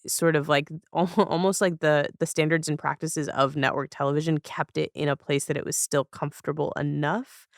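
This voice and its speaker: A woman's voice: deep voice, level tone, normal speed, hardly any pauses, no echo. Conversational woman hosting a podcast, close dry sound. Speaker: podcast host. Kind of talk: casual conversational podcast talk.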